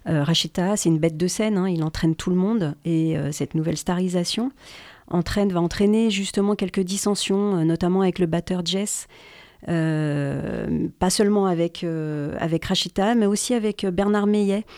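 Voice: medium pitch, normal speed, a little mellow, dry recording. Dry-sounding host guiding a talk. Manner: conversational, guiding the talk with fillers. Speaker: radio host. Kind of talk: radio discussion.

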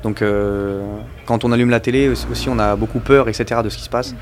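A man's voice: mid-range voice, fairly even intonation, fast, slight background noise. A young man being interviewed about his music, talking casually in slang with many fillers and restarts. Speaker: musician guest.

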